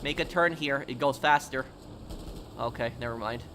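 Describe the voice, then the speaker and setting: A man with a mid-pitched voice talks casually on a gaming livestream over clear vehicle noise. He explains himself emphatically, often halting and circling back to repeat his point. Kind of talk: casual gaming stream chat, answering viewers.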